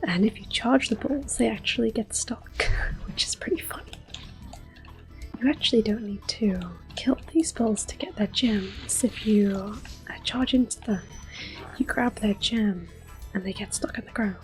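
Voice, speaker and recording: A woman speaks in a gentle whisper, slowly and without hurry. She hosts an ASMR gameplay session, with quiet music and controller clicks behind her. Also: a little high, poor audio. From Europe. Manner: gentle, unhurried, mostly whispering. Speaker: host of a gameplay series. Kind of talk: soft-spoken, whispered ASMR game commentary. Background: quiet game music and controller clicks.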